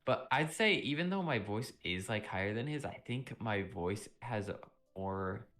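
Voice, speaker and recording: A young man on a live stream, chatting casually and rambling with lots of fillers, a little sick and often clearing his throat. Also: on the low side, expressive, moderate pace, a bit bright. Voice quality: vocal fry. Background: music playing.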